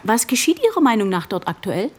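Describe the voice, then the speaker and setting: A woman's voice: mid-range voice, big pitch swings, a little fast, a little mellow, breathy edge. A woman acting a direct, sceptical state prosecutor in a radio drama. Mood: businesslike. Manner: direct, needling.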